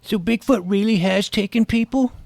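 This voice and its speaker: A man's voice: high voice, moderate pace, creaky, darkish tone, no echo. A man playing an earnest, wordy schoolboy paranormal detective who narrates his own adventure in an audio drama. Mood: pleading, earnest. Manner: precocious, wordy, earnest, mock-serious. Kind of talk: first-person scripted audio drama narration.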